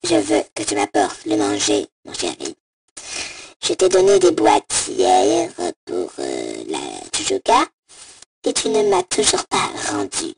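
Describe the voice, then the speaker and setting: A woman's voice: extremely low pitch, wildly swooping pitch, takes it slowly, gravelly, breathy edge, voice pushed down, heavily acted. A woman voicing a bossy auntie who leaves a nagging, crude voicemail in a comic skit, through a voice modulator. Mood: scolding. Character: bossy, scolding auntie leaving an angry voicemail. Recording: distant, noisy phone app recording.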